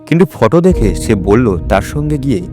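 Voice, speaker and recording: A man's voice: mid-range voice, big pitch swings, normal speed, over music. A man narrating a story for an audio drama in a scripted, dramatic storytelling style.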